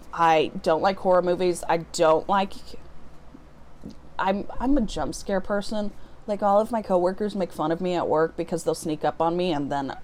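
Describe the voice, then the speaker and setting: A woman's voice: fairly low pitch, darkish tone. A woman from the US Midwest chatting casually as a book vlogger, rambling and breaking off her own sentences as she goes. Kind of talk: casual unboxing vlog chat.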